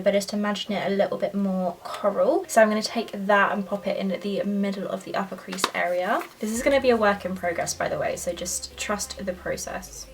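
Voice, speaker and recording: A woman's voice: mid-range voice, far from the mic. A young woman chatting casually and enthusiastically through a makeup tutorial, full of "like" and "so". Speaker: beauty vlogger.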